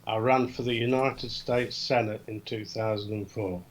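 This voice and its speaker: Man, low voice, quiz reader, steady, matter-of-fact. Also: normal speed, a little hiss or hum. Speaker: quiz guest. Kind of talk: reading quiz questions.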